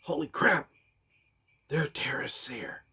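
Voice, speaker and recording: A beleaguered action hero from a storybook, acted out by a male reader, wry and exclamatory. His voice is raised and pushed higher. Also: wildly swooping pitch, a little slow, bright, forceful tone, slightly rough, heavily acted. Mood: alarmed.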